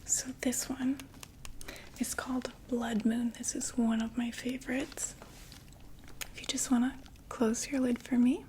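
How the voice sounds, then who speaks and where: A woman voicing a chatty undead vampire makeup saleswoman in a soft, gently persuasive ASMR whisper. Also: a little high, level tone, a little slow, long pauses, slight background noise, natural voice. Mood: hushed sales pitch. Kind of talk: ASMR roleplay.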